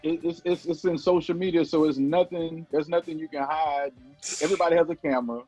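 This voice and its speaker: A man's voice: fairly high pitch, fairly even intonation, brisk, darkish tone, muffled recording, soft background music. A man co-hosting a radio interview, chatting casually and rambling his way into questions. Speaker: radio show co-host and interviewer.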